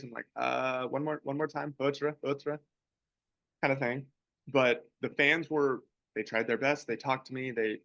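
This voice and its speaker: A young man who plays pro baseball, co-hosting a podcast and chatting in a relaxed, rambling way. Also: mid-range voice, no echo. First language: English. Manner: relaxed, rambling, many restarts. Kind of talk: casual podcast chat.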